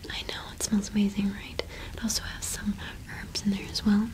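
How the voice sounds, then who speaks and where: A young woman playing a friendly, gentle cottage witch shopkeeper in a whispered ASMR roleplay, unhurried and attentive, close to the microphone. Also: mid-range voice, monotone, close to an everyday voice. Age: young adult.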